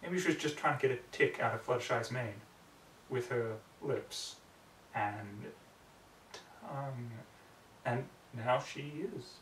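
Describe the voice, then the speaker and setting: A man's voice: mid-range voice, slow pace, long pauses, fairly bright tone, a little hiss or hum. Guy narrating a dramatic story, broody clipped phrases, echoey and a bit far from the mic. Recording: roomy echo, distant microphone.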